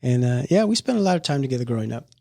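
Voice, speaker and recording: Middle-aged man, podcast host, rambling, restarts a lot, mid pitch, dry close mic. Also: big pitch swings, very fast, gravelly, warm tone, no room sound. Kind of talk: casual family chat.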